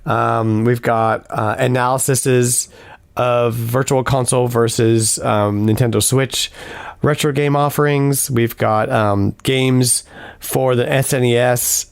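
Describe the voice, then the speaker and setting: A man hosting a casual podcast, upbeat and chatty, with a hoarse voice tonight. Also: mid-range voice, fairly even intonation, slow pace, no echo. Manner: upbeat, chatty announcer delivery. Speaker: podcast host.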